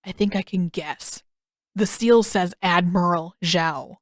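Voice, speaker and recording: A woman's voice: on the low side, slow, no echo, studio quality. Woman reading as scowling, defensive teenage prince. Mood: sullen, impatient. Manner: curt, defensive.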